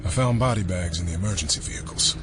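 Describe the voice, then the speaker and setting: A man's voice: low-pitched, fast, background noise, natural voice. A man playing a grim, weary government fixer who tells his story in terse, hard-boiled narration for an audio drama.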